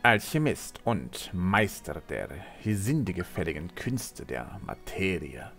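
A man's voice: deep voice, very animated, takes it slowly, vocal fry, a bit bright, soft background music, full character voice. A man playing a servile, subdued alchemist in a fantasy audio drama. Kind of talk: fan-made fantasy audio drama acting.

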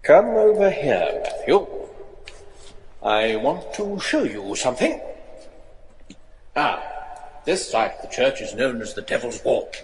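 An actor playing a grave, resolute English gentleman in a gothic horror radio play, measured, courteous and theatrical. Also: medium pitch, big pitch swings, long reverb tail, slight background noise, rough recording. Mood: genial, knowing.

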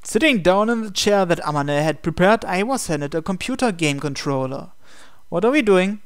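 A man's voice: high voice, expressive, moderate pace, clear, resonant tone, no echo, clean studio sound. A man reading visual novel narration and dialogue aloud as a gaming video host. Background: game music.

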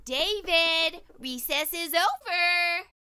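A woman voicing a stern, exasperated female schoolteacher, scolding loudly and emphatically in a storybook read-aloud. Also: extremely high pitch, drawn-out words, background noise, pitched above the performer's own voice, heavily acted.

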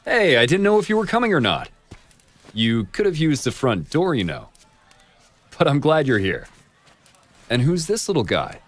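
A man's voice: medium pitch, normal speed, long pauses. A man acting a friendly, sympathetic neighbour in a video game, with a hearty, welcoming manner. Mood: glad, surprised. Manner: animated, hearty.

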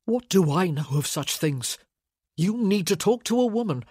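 A woman reading a novel, voicing a guilt-ridden, defensive man who talks in a terse, halting, desperate way. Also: fairly low pitch, animated, dark tone, breathy edge, no echo, studio quality. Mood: defensive, tense.

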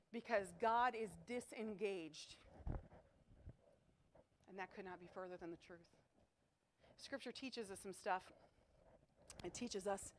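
A middle-aged woman preaching a chapel sermon to students in an auditorium, emphatic and animated, asking rhetorical questions, picked up by a distant camera. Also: medium pitch, slight background noise. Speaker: chapel speaker. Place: school chapel auditorium with stage.

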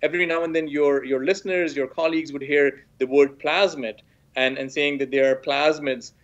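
A man in his forties from Pakistan, a professor explaining science as an interview guest over a home video call, measured and full of "sort of" hedges. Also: a little high, fairly even intonation. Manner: measured, hedging with "sort of", frequent restarts. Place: small room at home, indoors. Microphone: laptop webcam video call. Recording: dull lo-fi video call audio.

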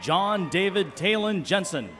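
A man's voice: high voice, a little slow, a bit bright. A man announcing graduates' names one after another at an outdoor commencement. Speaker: commencement name reader. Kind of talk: reading graduates' names at a ceremony.

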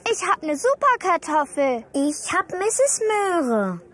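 A woman voicing an excitable, curious little girl pig in a childlike, eager way for a cartoon audio play. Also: extremely high pitch, takes it slowly, a little hiss or hum, full character voice. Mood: excited. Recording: loud music and crowd behind.

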